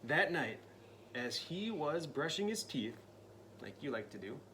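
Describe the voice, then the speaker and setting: A man reading a spooky picture book aloud to a child, his voice swooping wide and lively and a bright, forceful edge to it. He is playful and dramatic, sliding into hushed whispers for the creepy bits, and a faint hiss of noise sits under him. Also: fairly high pitch, a little slow. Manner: dramatic, drops to spooky whispers and jumps to loud cries; playful asides to the listening child. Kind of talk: storybook read-aloud.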